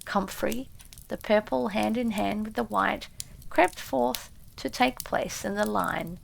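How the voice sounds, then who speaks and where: A woman reading a classic storybook as an audiobook narrator, in a measured, expressive way. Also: mid-range voice, gravelly, slight background noise, poor audio.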